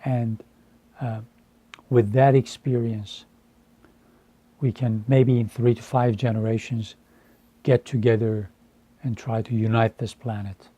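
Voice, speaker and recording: A man in his fifties from Iran, a Farsi speaker, speaking deliberately and earnestly to camera with frequent hesitations. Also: medium pitch, level tone, warm tone. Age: 50s. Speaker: translator. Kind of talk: earnest personal address to camera.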